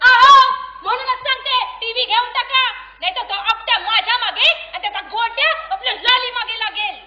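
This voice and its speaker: A woman acting a bossy, melodramatic wife in a comic stage play, shrill and declamatory. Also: brisk. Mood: insistent. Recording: echoey, muffled stage recording, faint noise.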